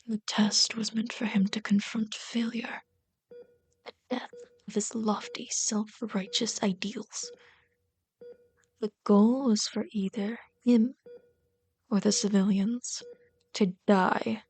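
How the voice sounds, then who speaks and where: A woman acts out a bitter villain hopelessly devoted to a hero's sidekick in an intimate ASMR roleplay. The delivery is slow and intense, at a middle pitch, often sinking into breathy whispers. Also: fairly even intonation, a little mellow. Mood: hushed, bitter.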